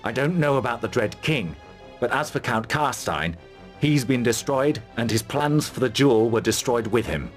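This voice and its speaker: An actor playing a stern, honourable army commander in a fantasy game, speaking in a formal, commanding, terse manner. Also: male voice, medium pitch, expressive, normal speed, soft background music, natural voice. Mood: matter-of-fact. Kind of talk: fantasy video game cutscene acting.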